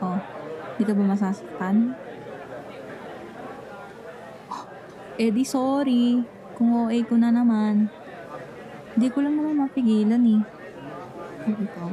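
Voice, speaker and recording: Girl acting as grumpy jealous young woman, pouty n defensive, fake nonchalant, highish voice, asmr roleplay, people chattering behind. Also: lightly acted. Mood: hurt, worried. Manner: pouty, defensive, code-switches into casual English. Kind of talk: Tagalog ASMR roleplay acting, one-sided conversation.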